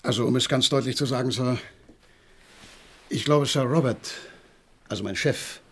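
A troubled, deferential horse trainer in a radio play. His voice is medium-pitched, with an earnest, hesitant politeness. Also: normal speed, gravelly, husky. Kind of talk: German radio crime drama acting.

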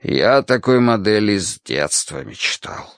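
A man's voice: lowish voice, big pitch swings, unhurried, smooth, no room sound, studio quality. A man voicing a bashful older sailor, hesitant and sighing, in a storybook audiobook. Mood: wistful admiration. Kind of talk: acted audiobook dialogue.

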